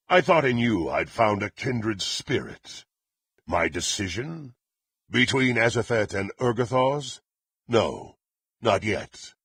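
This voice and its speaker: A man playing a murderous, proud warrior in a fantasy video game, with a gravelly, raspy voice and archaic, haughty, theatrical delivery. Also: on the low side, fairly bright tone, no room sound, heavily acted. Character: murderous, menacing, proud warrior serving a dark lord.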